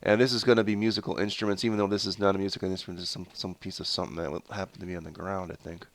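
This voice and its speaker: A man's voice: sits low, level tone, rapid-fire. A man with a stuffed-up head cold and a nasal voice, giving rambling, casual let's-play commentary full of fillers and self-corrections. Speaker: gameplay commentator.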